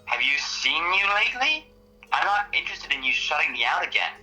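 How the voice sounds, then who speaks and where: A man's voice: fairly high pitch, very animated, fairly quick, bright, forceful tone, faint music behind, heavily acted, low-quality recording. A man playing a blunt, teasing, pragmatic researcher with a dry delivery, in a naturalistic conversational audio drama. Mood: blunt, exasperated.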